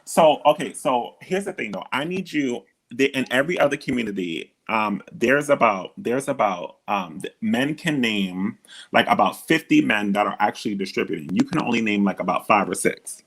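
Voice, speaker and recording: Guy with a kinda feminine voice, bit husky, hosting a live argument, cuts in a lot to get the floor back. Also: fairly low pitch, lively intonation, normal speed, fairly bright tone. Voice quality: feminine-sounding voice. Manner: interrupts often, repeats "hold on" to take the floor. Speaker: host. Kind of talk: heated live audio-room debate.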